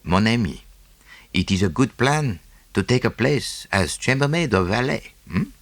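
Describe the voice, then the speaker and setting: A man's voice: medium pitch, animated, a little slow, dry recording. A man voicing a small, self-satisfied Belgian detective with a French-speaking Belgian accent and a didactic, theatrical manner in an audiobook. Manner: didactic, punctuated with "eh?" and French phrases.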